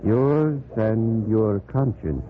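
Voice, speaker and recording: A man's voice: on the low side, very slow, phone-quality sound. An actor playing a grave, fatherly priest who moralizes solemnly, in 1940s radio drama style. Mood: probing, grave. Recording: noisy, thin 1940s radio recording.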